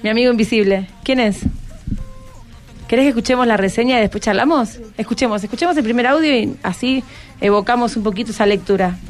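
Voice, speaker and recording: A woman's voice: a little high, fast, faint music behind. An Argentine woman hosting a radio interview in a studio, chatting informally and coaxing her guests along with questions. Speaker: radio host interviewing school students. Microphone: studio microphone.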